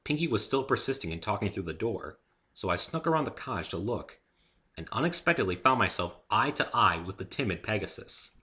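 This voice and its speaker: A man's voice: on the low side, lively intonation, a little fast, bright, forceful tone. A man giving an amateur dramatic reading of a first-person story as its narrator.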